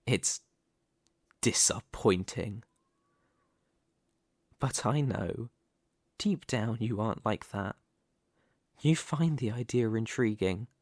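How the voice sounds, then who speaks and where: A man playing a possessive, ambitious young mafia boss in an ASMR roleplay, speaking deliberately and condescendingly with pointed, theatrical pauses. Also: fairly low pitch, expressive, a little mellow, dry recording, clean studio sound, full character voice. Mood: quietly probing. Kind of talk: ASMR roleplay, dramatic monologue to the listener.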